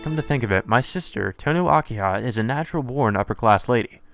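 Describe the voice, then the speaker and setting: Man as visual novel protagonist: teenage boy, brooding, inward-looking, middle pitch, game music underneath. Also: animated, fast, gravelly, a bit bright. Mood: wistful.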